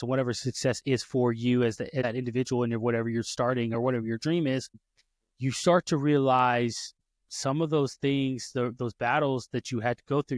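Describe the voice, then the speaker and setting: A man's voice: mid-range voice, a little mellow, no room sound, studio quality. A man in his thirties hosting a podcast, telling personal stories in casual talk, often restarting and correcting himself. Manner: storytelling, frequent restarts and self-corrections. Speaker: podcast host.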